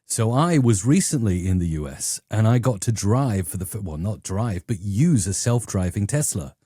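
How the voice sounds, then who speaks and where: A middle-aged man with a southern English accent, telling stories conversationally as an interview guest, often acting out quotes in an animated manner. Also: on the low side, normal speed, slightly rough, dry recording, studio quality. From England. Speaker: YouTube educator and interview guest.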